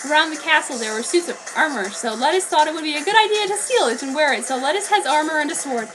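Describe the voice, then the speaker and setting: A woman's voice: high voice, animated, quick pace, background noise. A woman reading fan fiction aloud in an earnest, slightly hesitant way with occasional slips. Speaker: reader. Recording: background soundtrack music.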